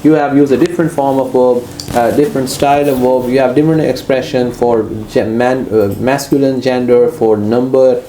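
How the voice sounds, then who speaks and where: A man's voice: mid-range voice, fairly even intonation, moderate pace, hardly any pauses, warm tone, slight background noise. Urdu-speaking Pakistani man in an echoey room. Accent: Pakistani English. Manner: hesitant, with frequent "uh" fillers, addresses the other as sir. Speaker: co-host and former student. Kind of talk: discussion with a teacher. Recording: roomy echo, faint ambience.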